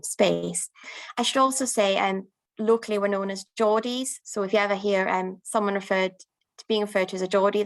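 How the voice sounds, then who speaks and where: Woman promoting a city to event planners, upbeat and unscripted, mid-pitch voice with a gravelly fry. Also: low-quality recording. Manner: frequent "um" fillers, upbeat. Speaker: destination promoter.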